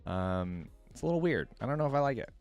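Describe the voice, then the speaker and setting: A man's voice: medium pitch, big pitch swings, fast, clean tone, no echo. Man, reaction host, informal thinking-aloud commentary, very dry sound, music underneath. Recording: music playing behind.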